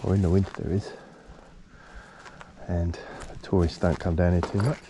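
Low-voiced angler with a cold. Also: male voice, level tone, normal speed, dark tone, a little hiss or hum, rough recording. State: has a bit of a cold. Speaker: angler filming a fishing vlog. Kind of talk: casual chat while fishing. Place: outdoors on a riverbank. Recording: outdoor action camera with background ambience.

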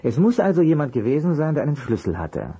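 A man playing a polite, persistent private detective in an audio drama, with a husky voice and a courteous, probing way of asking. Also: fairly high pitch, very animated, fast, mellow, exaggerated voice. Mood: probing, thoughtful. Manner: courteous, probing, hesitant at times. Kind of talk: German audio drama acting.

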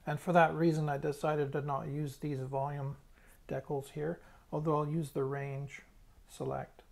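A man's voice: a little high, flat delivery, a little slow, gravelly, a little mellow. A man giving a casual, hands-on demonstration as a hobbyist, thinking aloud a little hesitantly. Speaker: hobbyist restorer presenting a tutorial.

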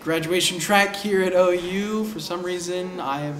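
A young man talks eagerly about what he loves, his voice pitched a little high. The room rings with echo and a faint hiss sits behind him. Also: measured pace, a bit bright. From Oklahoma. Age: 20s. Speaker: singing contest entrant. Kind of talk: casual interview answers. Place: wood-panelled room, seated in armchair.

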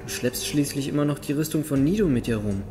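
A man's voice: mid-range voice, quick pace, lightly acted. Man acting a young acolyte healer, long reverb. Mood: thoughtful, uneasy. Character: earnest young acolyte healer, thoughtful adventuring companion. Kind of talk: fantasy audio drama acting. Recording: long cave-like reverb, loud music.

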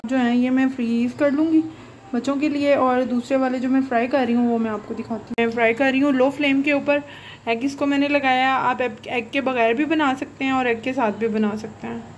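Woman, home cooking host, chatty and homely, slightly high voice, faint room noise. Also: steady tone, fairly quick. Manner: chatty, homely, many fillers like "jo hai na". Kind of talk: casual cooking tutorial voice-over. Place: home kitchen.

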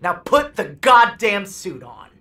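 A woman's voice: medium pitch, big pitch swings, takes it slowly, bright, forceful tone, heavily acted. An actress playing a bitter, desperate woman on the run in an audio drama, snapping out clipped, profane commands. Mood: bitter, furious.

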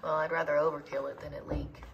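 A woman's voice: low-pitched, flat delivery, quick pace, lo-fi sound. A woman casually narrating a home renovation project in an unfinished basement, upbeat and teasing. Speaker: co-host of a home renovation channel.

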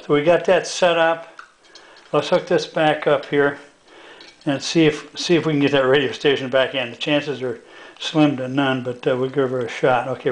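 An older man with an American accent giving a casual hands-on demonstration in a halting, folksy, plain-spoken way. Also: mid-range voice, rough recording. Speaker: hobbyist demonstrator. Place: indoors at a home workbench.

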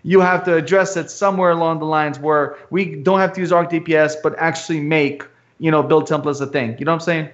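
A man's voice: fairly high pitch, fairly even intonation, brisk, few pauses. A man whose first language is Albanian, speaking earnestly in a casual video-call chat, often restarting his sentences. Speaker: podcast host. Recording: muffled lo-fi call audio.

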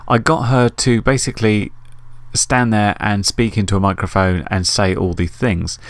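A middle-aged man with a low voice talks through a how-to in a relaxed, conversational manner. He explains things informally as a tutorial host. The sound is dry, with very little room in it. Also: normal speed, no echo. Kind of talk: casual tutorial narration.

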